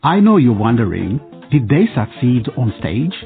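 A man's voice: medium pitch, sing-song, moderate pace, vocal fry. A man narrating a scripted radio drama, addressing listeners directly as its presenter. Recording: phone-like sound with music behind. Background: music.

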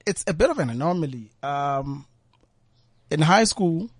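A South African man with a fairly high voice speaks as a radio guest. He is thoughtful and conversational, often doubling back on his words. The sound is close and free of room echo. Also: animated, unhurried, husky. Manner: thoughtful, repeats words and phrases. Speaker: guest poet and former journalist. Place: radio studio.

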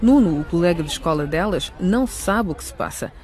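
A woman's voice: fairly low pitch, expressive, fairly quick, darkish tone, a faint crowd, close to an everyday voice. A woman narrating a radio drama in a serious, scripted storytelling style. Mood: measured.

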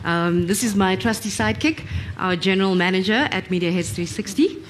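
A woman's voice: mid-range voice, brisk, slight background noise. A woman, upbeat and encouraging as she hosts a live audience session. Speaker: presenter, audience warm-up leader.

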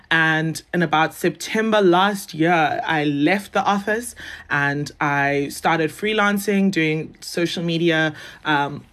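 A woman's voice: on the low side, a bit bright. A woman in her twenties, chatting casually as an interview guest and laughing often. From South Africa. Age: 20s. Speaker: guest, beauty content creator.

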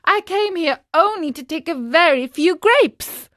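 A woman's voice: very high-pitched, very animated, takes it slowly, dry recording, studio quality, voice pushed up, full character voice. A woman voicing a frightened, tearful young wooden puppet boy from a children's storybook, wailing and pleading.

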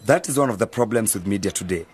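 A man playing a knowledgeable, easygoing mentor in an educational radio drama, explaining things in a measured, articulate way. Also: lowish voice, animated, husky. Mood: serious, explanatory. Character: knowledgeable, easygoing male media mentor advising young friends.

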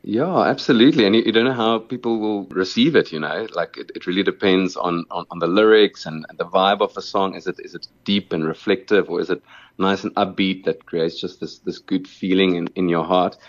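A man's voice: low voice, brisk, no room sound, muffled recording. A South African man whose first language is Afrikaans, a musician answering interview questions in an earnest way, often repeating words while he thinks.

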